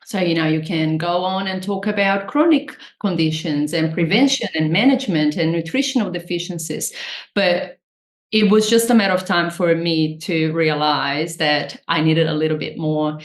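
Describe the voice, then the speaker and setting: A woman's voice: fairly low pitch, moderate pace. A woman with a Brazilian accent, a nutritionist guest, explaining her work earnestly and enthusiastically. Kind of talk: interview answers. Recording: roomy echo.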